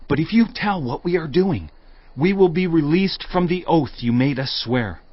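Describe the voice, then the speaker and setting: An actor playing a solemn, firm Israelite spy in a dramatized audio Bible, speaking in clear declarations. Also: male voice, fairly high pitch, expressive, normal speed, no echo. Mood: stern, solemn. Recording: dry, slightly dull audio.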